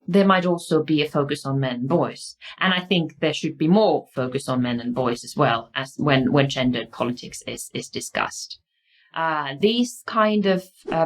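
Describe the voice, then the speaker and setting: Low-voiced Finnish-accented woman lecturer. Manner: thoughtful, often halting with fillers and restarts. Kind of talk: explaining lecture.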